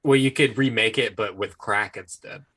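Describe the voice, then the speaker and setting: A man's voice: lowish voice, normal speed, pushed and bright, slightly rough. A man co-hosting a podcast, trading casual, crude jokes in short conversational interjections. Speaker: podcast co-host. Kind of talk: casual comedic banter. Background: royalty-free synthesizer music.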